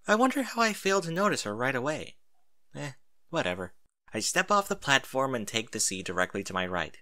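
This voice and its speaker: A man narrating a first-person story in an expressive reading style. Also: medium pitch, a little fast.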